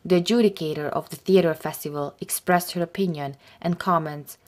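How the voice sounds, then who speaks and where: Woman narrator, deep for her sex, scripted documentary, steady and formal. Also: a little fast, noisy background.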